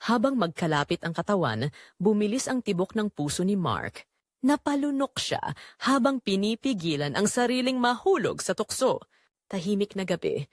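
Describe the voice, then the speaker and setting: Woman reading a dramatic story, super expressive pitch, dry close recording, builds suspense. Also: moderate pace, no echo. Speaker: narrator of audio drama. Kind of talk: dramatic scripted story narration.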